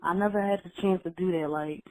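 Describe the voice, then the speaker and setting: A woman rapper from Philadelphia with a Philadelphia accent, answering interview questions in a laid-back, halting, plain-spoken way. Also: fairly low pitch, fairly even intonation, dry recording, low-quality recording. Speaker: rapper guest. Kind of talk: casual interview.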